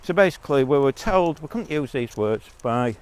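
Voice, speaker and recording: A man's voice: mid-range voice, expressive, normal speed, smooth. A man giving a blunt, rambling monologue as a vlogger, talking while out on a walk.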